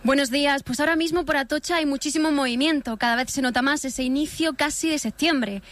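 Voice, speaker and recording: A woman reporter with a Spain accent reads a descriptive radio dispatch. Her voice is high and moves quickly, with a dry, close sound. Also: fairly bright tone, no echo. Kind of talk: scripted descriptive live report.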